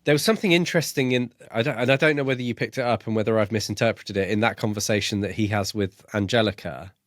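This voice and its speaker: A man co-hosting a podcast, casually discussing a book in a tentative, halting way as he thinks aloud. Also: fairly low pitch, no room sound, studio quality. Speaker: podcast co-host.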